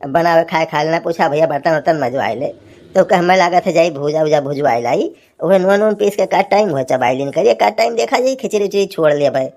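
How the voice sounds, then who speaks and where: A woman's voice: deep voice, lively intonation, brisk, a little mellow. A woman voicing a grumbling, teasing village husband in a cartoon comedy, with an Awadhi accent and a folksy, scolding manner.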